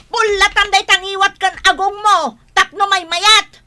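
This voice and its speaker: A woman acting a sharp-tongued young wife who nags and fights back in an audio drama. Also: very high-pitched, flat delivery, a little slow, exaggerated voice. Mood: scolding. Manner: nagging, combative.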